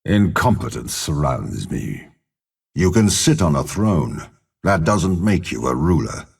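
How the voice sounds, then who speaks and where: An aging, imperious game villain with an extremely deep, husky voice. He is a cold schemer who speaks slowly and deliberately, with haughty menace and wide, dramatic swings in pitch. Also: very animated, exaggerated voice. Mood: cold, calculating. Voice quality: gravelly, husky. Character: cold, scheming older male warlord and spymaster, imperious villain. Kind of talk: video game character voice lines.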